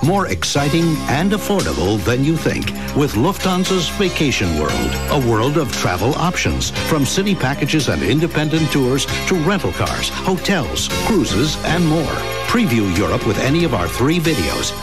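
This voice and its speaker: A man's voice: medium pitch, wildly swooping pitch, normal speed, few pauses. A man reading a scripted radio travel commercial as an announcer. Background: music.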